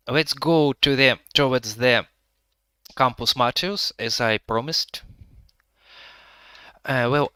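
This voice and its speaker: A man with a Russian-Ukrainian accent guiding a live virtual tour, speaking halting English with many pauses to find his words. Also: mid-range voice, bright, forceful tone, clean tone, dry recording. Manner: hesitant, pausing to find words; halting non-native English with frequent fillers.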